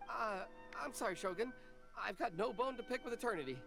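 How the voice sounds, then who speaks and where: A woman's voice: on the high side, expressive, fairly quick, creaky, over music. A woman playing a humble female snack vendor in a video game, earnest, deferential and easily flustered.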